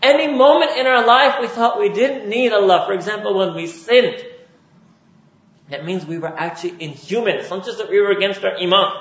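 A man's voice: high voice, a little fast. A man from Pakistan giving a religious lecture as a preacher, building his points with emphatic repetition. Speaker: Islamic preacher. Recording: roomy, dull lo-fi recording.

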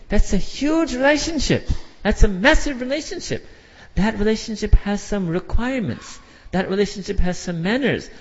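A man preaching a sermon from the front of a large hall, passionate and full of rhetorical questions. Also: a little high. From Pakistan or India. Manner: impassioned, rhetorical questions, mixes Urdu and Arabic phrases. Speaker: Islamic preacher. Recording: dull lo-fi sound with long hall reverb.

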